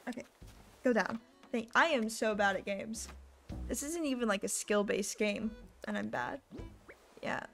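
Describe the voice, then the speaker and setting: Hoarse young woman rambling on stream. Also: a little high, a little slow, pauses often. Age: young adult. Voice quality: rough voice. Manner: rambling, self-deprecating, chatty. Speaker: live streamer. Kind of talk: casual gaming stream chat. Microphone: desk microphone. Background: music playing.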